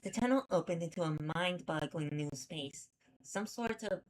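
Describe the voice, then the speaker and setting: A woman acts out a stubborn heroine narrating her own horror comic. The voice sits slightly low for a woman, with a sardonic, exclamatory delivery that keeps a sarcastic, exasperated edge. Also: expressive, normal speed, natural voice, low-quality recording. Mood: wry, wondering. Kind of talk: dramatic first-person comic readalong narration.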